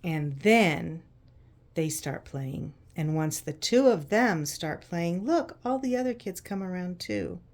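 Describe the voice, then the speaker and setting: A woman narrating a picture book to children in an expressive, conversational way, using simple explanations and checking in with questions. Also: fairly low pitch, takes it slowly. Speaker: children's read-aloud host.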